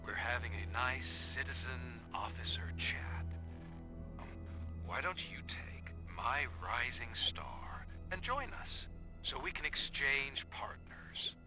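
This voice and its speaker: An actor playing a mockingly polite, threatening villain in a video game, with a taunting delivery. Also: male voice, medium pitch, very animated, slow pace, whispered, music in the background. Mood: mocking menace. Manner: mock-courteous, taunting. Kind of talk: video game cutscene acting.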